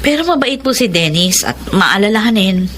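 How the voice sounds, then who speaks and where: An actress playing a devoted middle-aged single mother in a Filipino radio drama, expressive and respectful in her delivery. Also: medium pitch, normal speed, a little hiss or hum, close to an everyday voice. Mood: polite.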